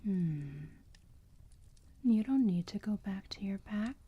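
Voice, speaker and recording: A woman acts out a doting female vampire who whispers in an ASMR roleplay. Her voice is very breathy and extremely dark and soft, and she takes her time with every phrase, cooing with motherly, protective tenderness. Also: mid-range voice, animated, lo-fi sound. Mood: soothing, protective. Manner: soft, slow, cooing, soothing.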